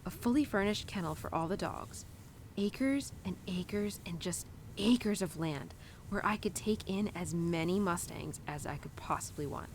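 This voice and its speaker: Low-voiced young cowgirl played by a woman, ambient noise behind. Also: a little slow, close to an everyday voice. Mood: dreamy, excited. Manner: casual, rambling, sighing and giggly. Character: young animal-loving cowgirl confiding tenderly in her horse. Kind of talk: intimate audio roleplay addressed to the listener.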